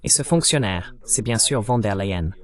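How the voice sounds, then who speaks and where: A man's voice: fairly low pitch, expressive, moderate pace. A man hosting an interview who asks probing, clarifying questions, voiced over in French. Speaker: interviewer, host.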